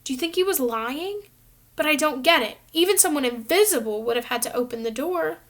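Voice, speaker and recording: A woman's voice: sits high, animated, fairly quick, fairly bright tone. A woman voicing a tired teenage schoolboy who groans and complains. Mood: puzzled.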